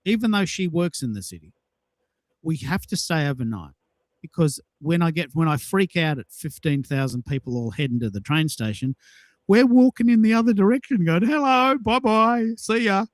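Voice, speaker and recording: A man's voice: on the high side, animated, moderate pace, no room sound. A man hosting a casual audio chat, telling personal anecdotes in an emphatic way and repeating himself for effect. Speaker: host of a social audio show.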